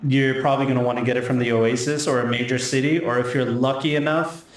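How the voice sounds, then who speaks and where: A man's voice: medium pitch, steady tone, quick pace. A man running a tabletop game as game master, explaining and narrating in a casual, conversational way. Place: indoor room with echo. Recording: echoey room, faint background noise.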